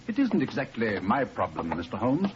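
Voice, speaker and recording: An actor playing an earnest, anxious army officer, formal and insistent, in 1940s radio style. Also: male voice, medium pitch, wildly swooping pitch, moderate pace. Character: earnest, anxious English military gentleman, polite emissary. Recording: muffled, noisy 1940s radio recording.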